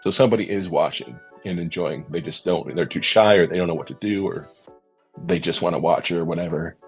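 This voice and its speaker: A man's voice: deep voice, a little fast, faint music behind. A man hosting a podcast, talking in an earnest, thoughtful way and searching for his words in a casual discussion. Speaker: podcast host.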